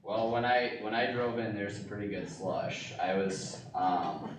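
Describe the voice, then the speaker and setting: A man chatting casually in a halting, hesitant way as he helps set up a meeting. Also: on the low side, a crowd in the background. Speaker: county board meeting participant setting up the video call. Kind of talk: casual chat before a meeting. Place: meeting room. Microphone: distant room microphone. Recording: roomy, echoey, noisy distant room microphone.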